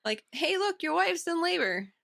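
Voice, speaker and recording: A woman's voice: extremely high pitch, big pitch swings, normal speed, gravelly, a bit bright, dry recording, clean studio sound. A woman co-hosting a podcast, talking casually and with enthusiasm about what she reads. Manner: informal, enthusiastic. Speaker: podcast co-host. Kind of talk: casual book-club chat.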